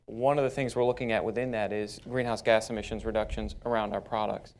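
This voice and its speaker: A man speaking as a company panelist in a conference hall, measured and steady, with frequent fillers. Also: fairly low pitch. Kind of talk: panel discussion.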